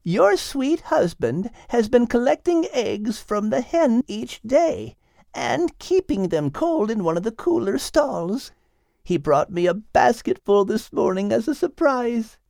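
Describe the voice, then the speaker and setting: A man voicing a kindly, practical woman who runs the household in a storybook, calm and gently instructing. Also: very high-pitched, a little slow, vocal fry, no room sound, studio quality, pitched above the performer's own voice, heavily acted. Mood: warm, pleased.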